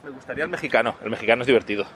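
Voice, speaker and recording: A man's voice: medium pitch, racing, slight background noise. A man, chatting relaxedly in a street interview. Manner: relaxed, laughs a little, restarts. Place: city sidewalk outdoors. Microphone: handheld interviewer mic.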